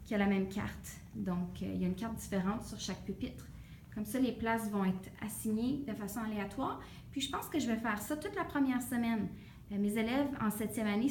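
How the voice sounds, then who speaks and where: A woman's voice: mid-range voice, darkish tone, echoey room, slight background noise. A woman teacher from Ontario with a Franco-Ontarian French accent, casually showing her classroom, hesitant with many fillers. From Ontario, Canada. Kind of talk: casual classroom tour vlog. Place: empty classroom.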